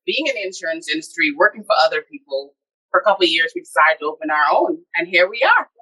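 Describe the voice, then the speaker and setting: Laughing woman, bright voice. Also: deep voice, fast talker, breathy edge, low-quality recording. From Bahamas. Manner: laughs often, relaxed storytelling. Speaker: podcast guest, insurance agency owner. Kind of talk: casual interview chat.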